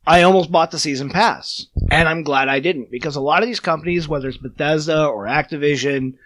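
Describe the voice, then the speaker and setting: A man's voice: fairly high pitch, brisk, fairly bright tone. A man with a smoker's voice hosting a casual gaming podcast, crude and sarcastic. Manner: crude, sarcastic, riffs and laughs. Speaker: podcast host.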